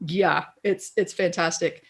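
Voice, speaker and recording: A middle-aged woman with a deeper, raspy voice, a guest in a casual interview who tells animated stories and laughs often. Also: measured pace. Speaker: guest, copywriter.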